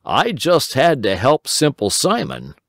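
A kindly old rabbit gentleman from a children's storybook, as a man puts him on, gentle and eager to help. His voice rises and falls with a lively sing-song. The sound is dry and close. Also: medium pitch, measured pace, no echo, pitched above the performer's own voice, heavily acted.

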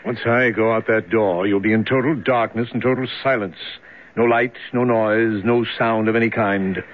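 An actor playing a cold, matter-of-fact jailer in a radio drama, curt and offhand. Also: male voice, lowish voice, steady tone, moderate pace, natural voice. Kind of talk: 1970s radio drama acting. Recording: thin, phone-like 1970s radio recording.

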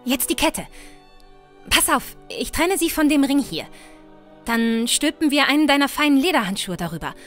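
Woman acting a high-voiced, bossy young noblewoman. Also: very animated, a bit bright, faint music behind. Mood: brisk, tense. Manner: bossy, brisk. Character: determined, brisk young noblewoman leading a rescue. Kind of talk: fantasy audio drama acting.

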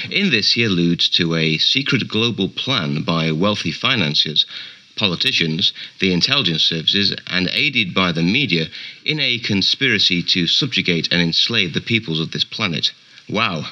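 Bright, animated, mocking chat show host. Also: male voice, sits low, moderate pace, exaggerated voice, poor audio. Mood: smooth, sceptical. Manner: smooth, teasing, sceptical. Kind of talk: radio play acting as a TV chat show host.

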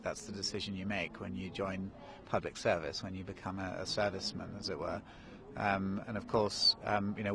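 A man in his forties who grew up in Kenya, answering questions in a live outdoor TV interview, hesitant with many ums and restarts. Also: low-pitched. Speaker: interview guest, national park director. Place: outdoors in snow. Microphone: handheld mic held by interviewer.

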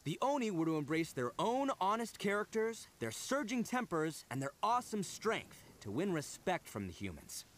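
An actor voicing a brash, proud, earnest young oni gang boss in an anime game, telling things colloquially with dramatic emphasis. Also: male voice, high-pitched, big pitch swings, moderate pace, few pauses, slight background noise. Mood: proud, solemn. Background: game music.

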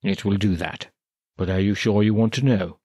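A low, husky male group leader, put on by a narrator, chatty and probing, as heard in an audiobook. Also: fairly even intonation, normal speed, a little mellow. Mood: inquisitive.

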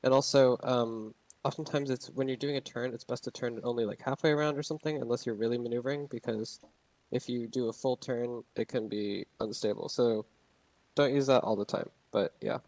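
A man's voice: mid-range voice, steady tone, a little fast, a little mellow, dry recording, low-quality recording. Young male narrator, loose unscripted tutorial talk, dry and close. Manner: rambling, many ums and restarts. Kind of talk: casual gameplay tutorial.

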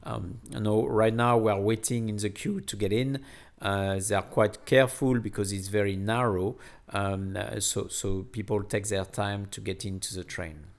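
A man narrating a casual travel vlog in a hesitant, halting way, often repeating words. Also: lowish voice, steady tone, gravelly. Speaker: vlogger.

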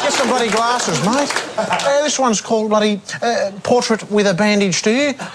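An actor playing a crude, cocky Australian criminal doing a blunt, deadpan comic auction monologue. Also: male voice, extremely high pitch. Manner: blunt, foul-mouthed, deadpan drawl. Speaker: sketch performer as auctioneer. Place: stage with podium and red curtains. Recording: laughing audience, clear background noise.

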